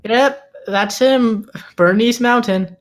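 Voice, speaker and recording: A man's voice: very high-pitched, takes it slowly. A man playing a nervous, stammering bluffer in a table read of a film script. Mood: fumbling, improvising.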